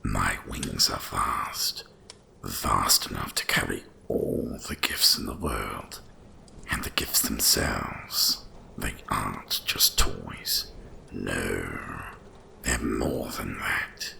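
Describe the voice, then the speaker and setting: Old wise dragon for asmr, super gravelly growly whisper, really slow and solemn. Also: male voice, pushed and bright, exaggerated voice. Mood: solemn, gentle. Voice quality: deep gravelly breathy whisper. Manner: slow, solemn, deliberate delivery. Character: ancient wise dragon, guardian of winter and Christmas. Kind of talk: ASMR roleplay storytelling.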